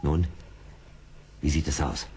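An actor playing a seasoned, wry frontier scout in a German radio play, dry, mocking and commanding. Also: male voice, very deep voice, slow pace, a little mellow, husky, full character voice. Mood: calm, commanding. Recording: hissy old radio play record.

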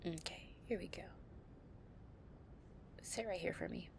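A woman playing a possessive young gang-leader girlfriend, tough but tender, in an intimate, soft-spoken audio roleplay. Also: lowish voice, unhurried, vocal fry, in a whisper. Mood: affectionate, drowsy.

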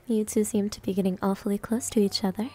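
A woman's voice: medium pitch, steady tone, fast talker, natural voice. A woman playing a confident, playful senior maid who teases and flirts, in an anime-style ASMR roleplay. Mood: teasing. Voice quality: breathy, soft. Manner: coy, teasing. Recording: music behind the voice.

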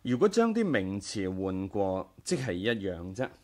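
A dignified, devout exiled leader. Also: male voice, sits low, very animated, measured pace, darkish tone. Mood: earnest, devout. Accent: Hong Kong Cantonese. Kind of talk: 1980s radio drama acting.

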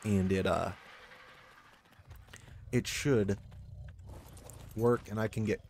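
Man with a low voice, hoarse, muttering gameplay commentary, faint room noise. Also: fairly even intonation, slow. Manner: mutters to himself, with sudden loud frustrated outbursts. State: voice going hoarse. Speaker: gaming channel host.

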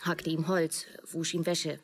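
An actress playing a woman writer in her thirties who narrates a radio drama in a measured, literary way. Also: low-pitched, little pitch movement, slight background noise. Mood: reflective. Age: 30s. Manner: measured, storytelling.

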